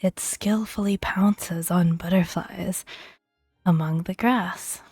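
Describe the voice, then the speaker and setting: A woman speaking softly and gently in a breathy near-whisper, narrating a video game as ASMR. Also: on the low side, steady tone, slow, dry recording, clean studio sound. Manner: gentle, hushed delivery. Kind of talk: soft-spoken ASMR video game commentary. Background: soft background music.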